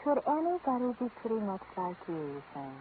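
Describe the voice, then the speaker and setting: A woman's voice: a little high, big pitch swings, moderate pace. A woman playing a flirtatious, teasing painter in a 1940s radio drama, with a coy and playful manner. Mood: flirty, coy. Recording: tinny lo-fi old radio recording with noise.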